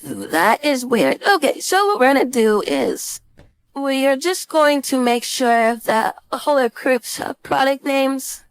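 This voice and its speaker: High-pitched woman, software instructor, casual tutorial style, informal thinking aloud, dry room-free sound. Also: big pitch swings, takes it slowly, clear, resonant tone, dry recording. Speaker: design instructor.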